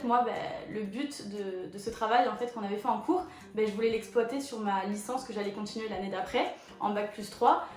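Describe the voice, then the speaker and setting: A young woman in her twenties with a slightly high voice chats easily through viewer questions, leaning on relaxed fillers as she goes. Her voice rings around an echoey room. Faint music plays underneath. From France. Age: 20s. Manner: chatty and relaxed, with many fillers like 'du coup' and 'voilà'. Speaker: photo model answering viewer questions. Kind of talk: casual chat, reading out viewer questions.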